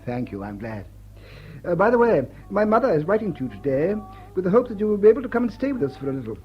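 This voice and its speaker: An actor playing a stiff, shy, earnest lord in a period comedy, formal and haltingly awkward in speech. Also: male voice, sits high, big pitch swings, dull audio, soft background music. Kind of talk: period stage comedy radio drama.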